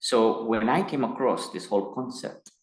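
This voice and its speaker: A middle-aged man from Tanzania, speaking as an interview guest in a measured, hesitant way that turns emphatic. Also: mid-range voice. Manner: measured, hesitant with frequent uh fillers, grows emphatic. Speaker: guest, company executive. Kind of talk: interview conversation. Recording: roomy echo.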